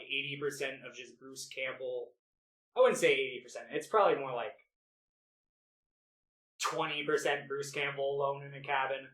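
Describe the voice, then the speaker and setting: A man's voice: a little high, pushed and bright. A man co-hosting a movie podcast, chatting casually and enthusiastically in a rambling, sweary way. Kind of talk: casual chat about favorite films. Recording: roomy, echoey home podcast recording.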